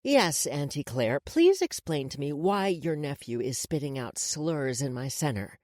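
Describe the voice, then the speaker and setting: A woman reader plays a menacing woman in charge from an audiobook. Her voice is low and very animated, mocking and commanding, recorded close and dry. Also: a little slow, no echo. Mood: cold, mocking. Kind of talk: audiobook character dialogue.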